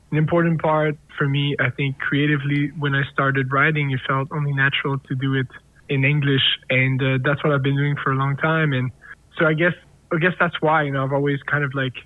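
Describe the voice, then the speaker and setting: A man's voice: fairly high pitch, little pitch movement, brisk, no echo. French-native man on a tinny phone line. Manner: rambling, many fillers and restarts. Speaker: singer-songwriter guest. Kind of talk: casual interview answers.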